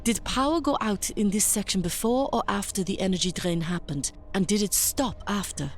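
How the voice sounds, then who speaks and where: A woman's voice: mid-range voice, moderate pace, lightly acted. A woman playing an inquisitive scientist in an audio drama, explaining things in a methodical, formal manner. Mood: analytical, curious.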